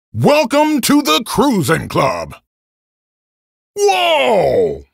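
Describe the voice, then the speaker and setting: A woman voicing an energetic arcade racing game announcer who shouts short callouts. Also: a little high, gravelly, slightly rough, no room sound, clean studio sound, heavily acted.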